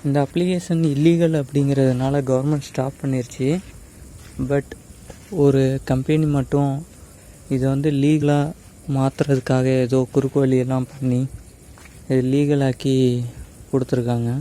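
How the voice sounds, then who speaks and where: A man's voice: medium pitch, level tone, unhurried, long pauses, warm tone, low-quality recording. A man gives a casual step-by-step tutorial, addressing viewers directly and mixing in English words. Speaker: tech tutorial host.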